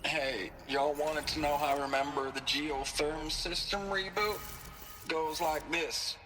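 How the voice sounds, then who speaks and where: Man acting a folksy high-voiced technician, music behind. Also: steady tone, a little slow, natural voice. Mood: playful, smug. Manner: folksy, jokey, showy sing-song recital. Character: folksy, boastful male technician in an old recording. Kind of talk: video game audio log acting.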